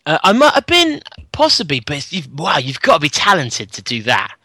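Man, podcast co-host, bright voice, dry close sound, frank and jokey. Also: fairly high pitch, wildly swooping pitch, fairly quick, husky, no echo. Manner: laughing, frank explainer. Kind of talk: casual chat.

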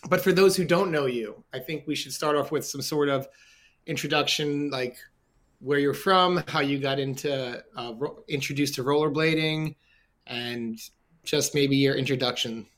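A man's voice: medium pitch, normal speed, a bit bright. A man hosting a podcast, chatting casually and asking questions in a rambling, conversational way. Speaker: podcast host.

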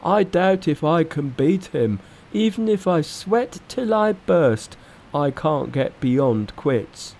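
A man's voice: a little high, sing-song, slow, creaky, darkish tone, voice pushed up, exaggerated voice. A man voicing a blunt, grumbling, boastful pig creature in a storybook reading. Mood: discouraged. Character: blustering, grumbling male pig monster disciple. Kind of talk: storybook narration with character voices.

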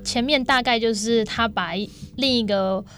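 A woman's voice: on the high side, normal speed, a bit bright, soft background music. A young woman with a Taiwanese Mandarin accent chatting casually as a theatre performer guest in a radio studio. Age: young adult. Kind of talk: casual interview chat.

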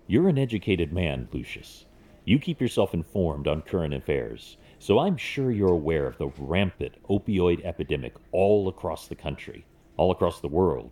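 A man acts out a stern billionaire vigilante for an audio drama. His voice sits very low, yet it moves with lively intonation as he delivers measured, deliberate speeches with principled resolve. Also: exaggerated voice.

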